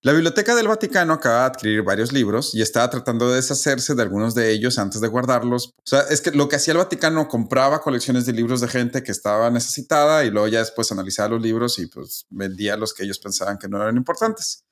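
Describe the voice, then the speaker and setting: A man's voice: medium pitch, fast talker, hardly any pauses, a bit bright. Mexican man telling a story casually. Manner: conversational, explains with asides and tag questions. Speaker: podcast host.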